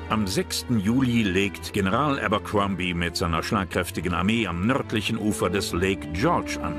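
A man with a low voice narrates a history documentary. He reads from a script, and music plays clearly behind him.

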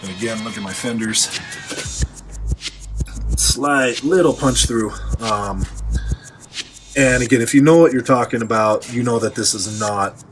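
A man's voice: medium pitch, a little slow, pauses often, low-quality recording. An American man giving a casual, colloquial, opinionated walkaround as a car restorer. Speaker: car restorer presenting a find. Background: music.

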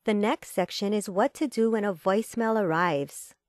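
A woman reading a scripted instructional voice-over in a measured, formal, step-by-step manner. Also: mid-range voice, a little mellow, no echo, clean studio sound. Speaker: tutorial narrator.

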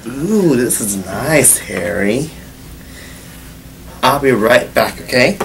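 A man's voice: expressive, takes it slowly, creaky. A young teen boy in an old home video, talking in a goofy, hammy skit manner. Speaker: younger self in an old vlog clip. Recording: old low-quality camera clip with faint noise.

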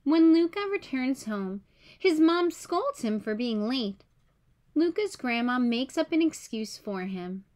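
High-pitched woman reading a children's storybook. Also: sing-song, a little slow, a little mellow. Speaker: read-aloud storyteller. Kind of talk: children's picture book read-aloud.

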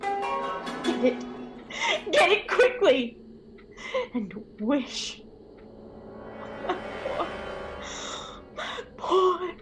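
A woman's voice: very high voice, sing-song, extremely slow, pauses often, faint music behind, heavily acted. A woman playing an obsessive, grieving elderly mother in a radio horror drama, imploring and intense. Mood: feverish, demanding.

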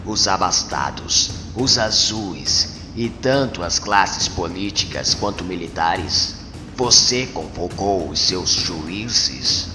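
A man voicing a solemn, menacing alien commander in a motion comic dub, with formal, grandiose, deliberate delivery. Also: low-pitched, animated, pitched below the performer's own voice. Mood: commanding, grandiose. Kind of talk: comic book dub acting. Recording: long cavernous reverb over music.

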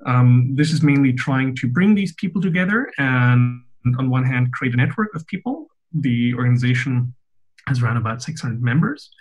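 A man's voice: medium pitch, animated, normal speed, rough recording. A man in his twenties from Austria, explaining things articulately and at length as a podcast guest, with a light joking touch. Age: 20s. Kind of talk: casual discussion.

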